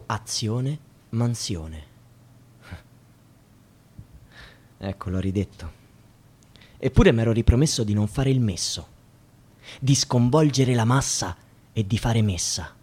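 An actor playing a bitter, defiant young student in a poetic radio theatre monologue, delivered in halting, declamatory phrases. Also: male voice, medium pitch, expressive, darkish tone, a little hiss or hum, natural voice. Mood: bitter, subdued. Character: anguished young student, bitter and defiant about precarious work. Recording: music under the voice. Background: music.

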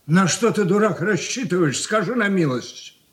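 A man's voice: sits high, expressive, moderate pace. An actor playing a stern, weary older merchant father in a Soviet radio drama, scolding his son in reproachful, old-fashioned colloquial speech. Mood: rising anger.

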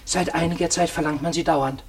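An actor playing an eccentric, quarrelsome old junk dealer in a radio play, fussy and theatrical, repeating himself. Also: male voice, fairly high pitch, fairly even intonation, brisk, a little hiss or hum, close to an everyday voice. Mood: peevish.

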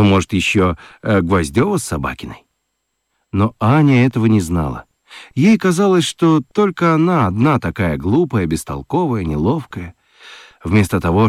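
Low-voiced, expressive man narrating a story. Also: no echo, studio quality. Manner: expressive storytelling with dramatic pauses. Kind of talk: scripted children's story narration in radio play.

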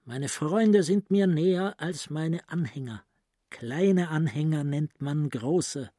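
An actor playing a brooding, grandiose psychiatrist, speaking his inner thoughts softly and deliberately in a literary radio play. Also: on the high side, no echo, clean studio sound. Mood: bitter, grandiose.

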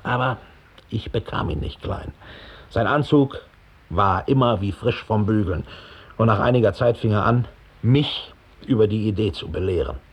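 A man's voice: on the low side, normal speed, slightly rough, muffled recording. An actor playing a cynical, smug former Gestapo officer telling his story with open scorn in a 1960s German radio drama. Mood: contemptuous, grudging.

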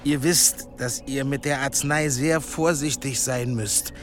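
A man's voice: medium pitch, steady tone, a little slow, close to an everyday voice. Man as a cautious, deferential monk apothecary. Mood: cautious, concerned. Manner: careful, respectful. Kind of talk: German radio drama acting.

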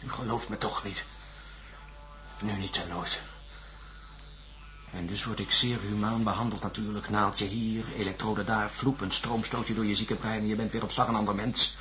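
An actor playing a distrustful, haunted astronaut in a radio drama, answering curtly and defensively. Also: male voice, lowish voice, fairly even intonation, normal speed, background noise, lightly acted. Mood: bitter, agitated. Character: bitter, distrustful male astronaut under psychiatric questioning. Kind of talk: science-fiction radio drama acting.

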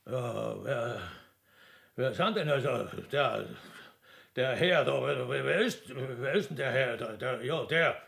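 A man's voice: mid-range voice, slow pace, vocal fry, fairly bright tone. An actor playing a flustered, bumbling man who hesitates and repeats his words in a radio play. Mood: bewildered, unsure.

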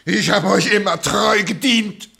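Shrill, panicked royal jailer. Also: male voice, measured pace, gravelly, sharp tone, heavily acted. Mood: pleading. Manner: shrill, frantic pleading. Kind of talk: radio play acting.

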